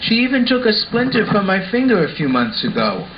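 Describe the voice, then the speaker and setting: A man's voice: sits high, very animated, a little fast. A man playing an earnest, sensible schoolboy in a scripted puppet show, explaining things instructively. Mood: sincere. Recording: dull lo-fi sound with faint noise.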